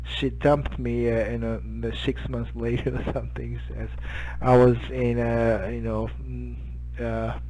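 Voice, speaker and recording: Japanese guy maybe 50s on ham radio, medium pitch, talks slow n halting. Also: level tone, mellow, slight background noise, poor audio. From Hokkaido, Japan. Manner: halting, hesitant, searching for words. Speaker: amateur radio operator. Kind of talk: casual radio contact chat.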